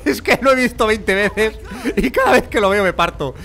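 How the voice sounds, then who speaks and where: A man with a Spain Spanish accent giving animated, colloquial, joking commentary on gameplay as a video creator. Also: high voice, moderate pace, creaky.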